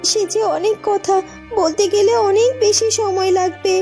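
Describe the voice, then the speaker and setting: A woman's voice: very high-pitched, fairly even intonation, normal speed, warm tone, faint music behind. A woman voicing a weary, determined young woman in a fairy-tale cartoon, earnest and pleading. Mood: apologetic, earnest.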